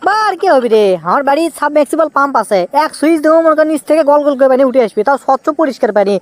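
A man's voice: very high-pitched, lively intonation, very fast, no echo. A man voicing a cheeky, evasive young newlywed husband with a Bogura Bangladeshi accent, whining out excuses in a comic cartoon. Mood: exasperated.

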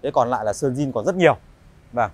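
A man in his thirties walks through a big indoor car showroom, pitching used cars to viewers. He talks in a polite, chatty sales patter at a middle pitch, stopping often on little filler sounds before carrying on. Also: expressive, fast. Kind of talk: walk-around sales presentation.

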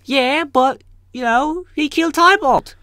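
A man's voice: extremely high pitch, unhurried, bright, forceful tone, no echo, studio quality, pitched above the performer's own voice, exaggerated voice. A man voicing an indignant, pleading noblewoman sock puppet in a whiny, insistent manner. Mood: protesting.

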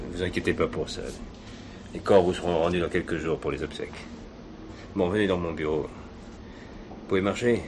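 Casting: seasoned police chief played by a man, very low voice, quick and blunt, radio crime drama. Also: steady tone, background noise, close to an everyday voice. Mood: measured. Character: calm, authoritative police commissioner, a seasoned male boss.

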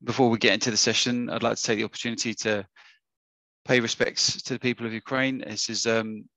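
A man with a husky voice, hosting an online webinar in a casual, hesitant way with many fillers and restarts, speaking over a video call. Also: mid-range voice, level tone, brisk, bright, forceful tone, no room sound, low-quality recording. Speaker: webinar host. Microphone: webcam or headset on a video call.

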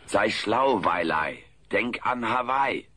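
Cheeky low-voiced alien wisecracker. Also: male voice, expressive, slow pace, pushed and bright, heavily acted. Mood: cocky. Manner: brash, sarcastic, cocky. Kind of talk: German comedy audio play dub. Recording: noisy old cassette recording.

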